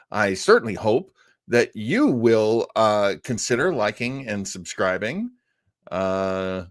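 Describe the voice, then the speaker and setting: A man with a very expressive, varied pitch hosts a casual stream at an easy, slow pace. He rambles and interrupts himself as he hunts for words. The sound is close and dry. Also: no echo. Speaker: online show host. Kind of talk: casual live-stream chat about old radio shows.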